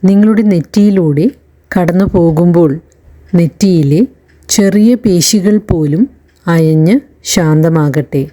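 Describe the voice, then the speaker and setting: A woman leading a guided meditation in a calm, soothing narration, leaving long pauses between phrases. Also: on the low side. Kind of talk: slow guided relaxation narration.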